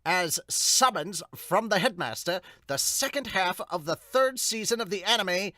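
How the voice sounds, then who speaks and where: Man as a high, emphatic anime announcer. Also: expressive, a little slow, sharp tone, no echo, exaggerated voice. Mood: announcing.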